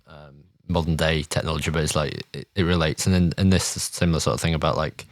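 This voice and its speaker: A man's voice: extremely low pitch, fairly even intonation, quick pace, no room sound, studio quality. A man co-hosting a casual review podcast, speaking hesitantly as he searches for words.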